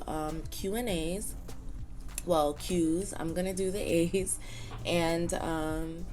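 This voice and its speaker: A young woman from Queens talks in a relaxed, chatty way, with a fairly low voice. She scatters fillers through her sentences and laughs easily. Faint music sits behind her. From Queens, New York City. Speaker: vlogger. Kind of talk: casual get-to-know-me chat.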